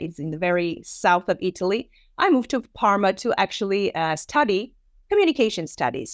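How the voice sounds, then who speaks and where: A woman in her thirties, raised in Armenia with Armenian as her first language, gives a conversational online presentation. She has a middle pitch and often acts out quotes. The sound is dry and close. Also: dry recording. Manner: conversational, animated, with frequent fillers and acted quotes. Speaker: teacher trainer.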